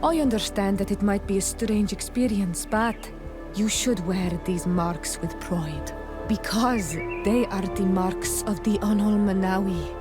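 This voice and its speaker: Actress doing fierce young native island woman for a video game, solemn n proud, mid pitch, music playing loud behind. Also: measured pace, close to an everyday voice. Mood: earnest. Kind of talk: video game dialogue acting. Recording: game dialogue under prominent music.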